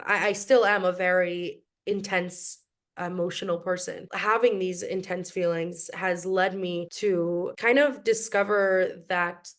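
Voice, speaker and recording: A young woman from New York, a podcast guest who speaks in a reflective, casual way with frequent ums and restarts. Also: mid-range voice. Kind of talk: casual interview chat.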